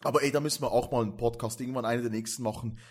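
A man's voice: medium pitch, steady tone, rapid-fire. A man from Switzerland chatting casually as a podcast co-host, agreeable and often interjecting confirmations. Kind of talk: casual chat.

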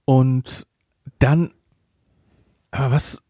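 A man's voice: mid-range voice, flat delivery, drawn-out words, warm tone, dry recording, clean studio sound. A man co-hosting a podcast, chatting casually as he reviews an audio drama in a sarcastic, exasperated, nitpicking manner. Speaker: podcast co-host.

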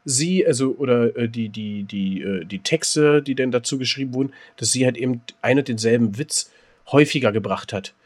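A man's voice: mid-range voice, normal speed, darkish tone. A man co-hosting a casual podcast chat, joking and teasing his partner. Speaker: podcast co-host.

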